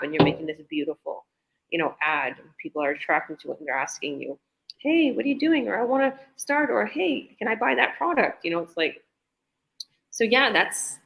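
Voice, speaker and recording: A woman's voice: deep voice, a bit bright, rough recording. A woman, an online business owner, chatting enthusiastically on a group video call with many restarts.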